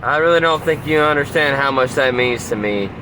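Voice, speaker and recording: A man's voice: mid-range voice, a little fast. A man, a novice truck driver, chatting casually and respectfully over CB radio. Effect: CB radio transmission. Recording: CB radio chat in clear vehicle-like noise.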